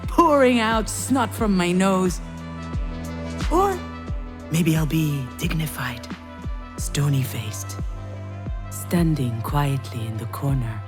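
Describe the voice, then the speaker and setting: A woman playing a troubled, sardonic screenwriter in a visual novel game, with a hesitant, wry and emotionally strained delivery. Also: sits low. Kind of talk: naturalistic visual novel voice acting, introspective narration and dialogue.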